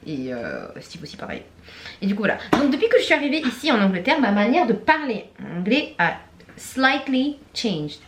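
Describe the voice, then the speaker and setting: A young woman chats casually and cheerfully while she cooks. Her voice sits fairly high. Her kitchen sounds roomy and echoey. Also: a little hiss or hum. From Réunion. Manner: lively, laughing, quick asides. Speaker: vlogger. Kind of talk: chatty kitchen vlog. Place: home kitchen. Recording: echoey room.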